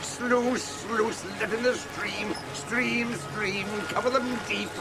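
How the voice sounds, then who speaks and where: An actor playing a wicked, scheming villain in an audio drama, gloating and chanting theatrically in a creaky voice. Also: male voice, sits high, takes it slowly, heavily acted, low-quality recording. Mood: gleeful, gloating. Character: gleeful wicked villain, cackling, scheming and vengeful. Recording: loud music behind.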